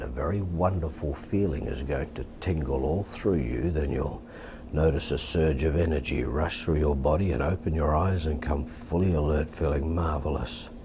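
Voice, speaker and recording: An Australian man with a deep voice, calmly and deliberately teaching and demonstrating hypnosis in a soft-spoken, repetitive way. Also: noisy background. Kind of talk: hypnosis instruction and induction, softly spoken.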